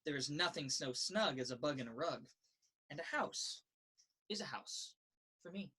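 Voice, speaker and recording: A woman reads a children's picture book aloud. Her pitch moves in a lively, expressive way through a sing-song rhythm. The voice has a husky, slightly rough edge. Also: moderate pace. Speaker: story reader. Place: indoors in a children's library.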